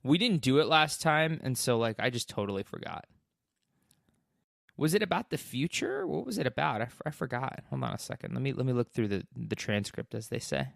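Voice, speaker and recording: A man's voice: mid-range voice, expressive, a little fast, gravelly, fairly bright tone, no echo, studio quality. A man co-hosting a podcast in casual chat, rambling with lots of fillers. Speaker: podcast co-host.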